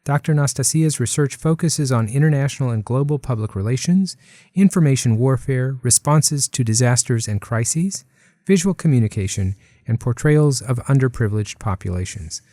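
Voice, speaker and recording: A man's voice: medium pitch, lively intonation, moderate pace, dark tone, no echo, clean studio sound. A man hosting a university interview show, reading polished introductions and asking questions. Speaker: host, college dean.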